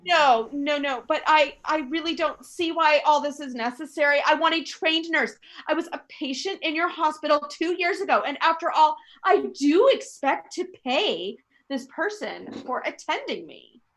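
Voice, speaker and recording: A woman performs a frightened, imperious housewife in a melodramatic radio thriller. Her voice sits extremely high, and she is nervous and self-pitying, insisting on being obeyed. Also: lively intonation, moderate pace, fairly bright tone. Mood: insistent, nervous. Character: panicky, imperious, self-pitying invalid wife alone at night. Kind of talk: suspense radio play acting.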